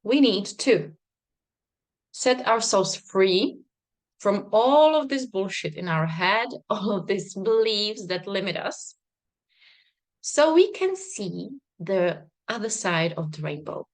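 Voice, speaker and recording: A woman's voice: mid-range voice, expressive, slow. A woman, a non-native English speaker, talking to a live stream audience as a business coach in a bubbly, emphatic way with frequent laughter. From not Czech, worked in Czech Republic. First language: not English. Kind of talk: live stream talk to viewers.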